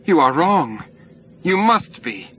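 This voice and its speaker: A man voicing a troubled, stubbornly disbelieving male alien in an audiobook, halting and emphatic. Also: a little high, sing-song, a bit bright, a little hiss or hum, pitched above the performer's own voice, heavily acted, poor audio. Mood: horrified denial. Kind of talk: audiobook character dialogue.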